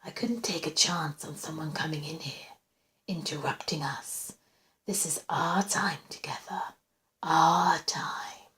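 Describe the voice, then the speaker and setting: A woman voicing a menacing, obsessive male stalker in a soft, breathy whisper, slow and intimate, for a suspense audiobook. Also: mid-range voice, poor audio. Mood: calm, menacing. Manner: slow, commanding yet gentle, intimate.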